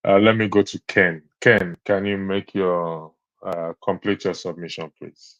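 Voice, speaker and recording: A low-voiced male host moderates the callers, keeping it brief and polite as he passes the floor along. The sound is dry and close. The audio is dull and lo-fi. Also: little pitch movement, a little slow, fairly bright tone, no room sound.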